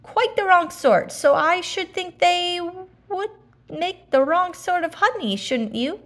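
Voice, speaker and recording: A woman voicing an earnest, anxious little male toy bear in a children's storybook, speaking in a deliberate, declamatory way. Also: extremely high pitch, expressive, a bit bright, pitched above the performer's own voice, heavily acted. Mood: rattled, decided.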